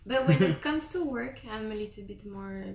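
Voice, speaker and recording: A woman's voice: medium pitch, animated, normal speed, noisy background. A woman guest on a podcast, speaking hesitantly with non-native phrasing. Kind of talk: casual chat. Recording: echoey room.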